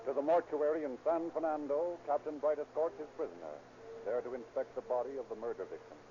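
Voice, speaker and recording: An actor as the dramatic, declamatory narrator of a 1930s radio police drama. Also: male voice, mid-range voice, fast talker, over music, natural voice. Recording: muffled 1930s radio broadcast.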